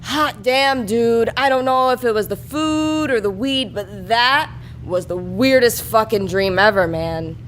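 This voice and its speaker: A woman's voice: fairly high pitch, measured pace, fairly bright tone. Woman playing a brash, foul-mouthed stoner dude, noisy recording. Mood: shaken, grumpy. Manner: brash, swearing, slangy. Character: loudmouthed, crude young male stoner slacker. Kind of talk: live comic story reading.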